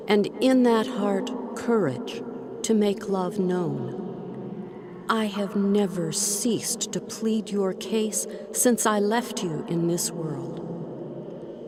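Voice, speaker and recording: Woman acting a slow, gentle heavenly spirit. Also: medium pitch. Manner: solemn, tender, measured, poetic. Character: gentle, forgiving female spirit speaking from the heavens. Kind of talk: audiobook drama character acting.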